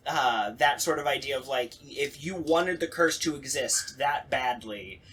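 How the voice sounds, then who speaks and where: A man hosting a casual podcast discussion, talking in a rambling, animated, sweary way. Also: medium pitch, unhurried, a little hiss or hum, rough recording. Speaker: podcast host.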